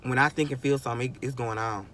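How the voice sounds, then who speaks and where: A man's voice: mid-range voice, steady tone, quick pace, fairly bright tone, a little hiss or hum. A man in his twenties giving a rambling, emphatic motivational monologue, often chuckling and restarting sentences. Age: 20s. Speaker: vlogger.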